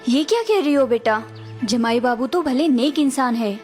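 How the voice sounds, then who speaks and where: A woman voicing an older village mother who gives calm, patient advice to her daughter. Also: high-pitched, moderate pace, faint music behind. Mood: concerned.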